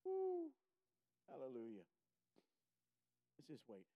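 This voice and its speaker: A man preaching a sermon as a guest, slow and emphatic, sniffling a little. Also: medium pitch, huge pitch swings, dull audio.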